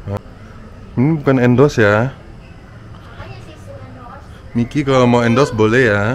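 A man's voice: medium pitch, a little slow. An Indonesian man chatting casually, picked up by a camera at a distance. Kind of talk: casual chat. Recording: noisy, distant camera audio.

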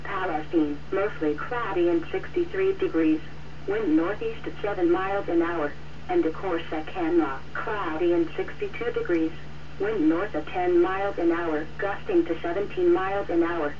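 A woman's voice: on the low side, normal speed, far from the mic. A synthetic text-to-speech woman's voice reading out scripted weather conditions as an automated radio announcer. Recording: muffled, noisy radio receiver captured off-air.

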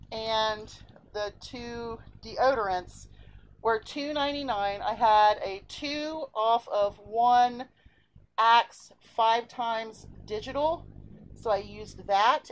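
A woman's voice: fairly high pitch, slight background noise, low-quality recording. A woman, chatting upbeat through a grocery haul at home. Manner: chatty, upbeat, talks through prices and coupons. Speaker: vlogger showing a grocery haul. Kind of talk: casual chat. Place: home, indoors.

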